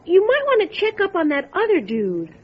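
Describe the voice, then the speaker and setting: An actress playing an offhand, wry small-town diner waitress in an old time radio drama. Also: female voice, very high-pitched, wildly swooping pitch, moderate pace, a little hiss or hum, exaggerated voice. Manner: casual, wry. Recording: dull lo-fi audio.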